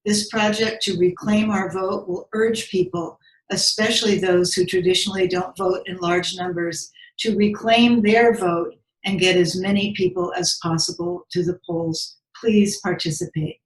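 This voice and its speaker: A woman's voice: mid-range voice, normal speed. Echoey recording of a woman speaker. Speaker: committee speaker. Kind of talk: prepared statement, read out. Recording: echoey, roomy sound.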